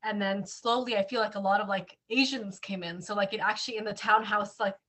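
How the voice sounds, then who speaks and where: Bright-voiced Canadian woman chatting. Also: medium pitch, steady tone, a little fast. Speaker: co-host. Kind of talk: casual chat.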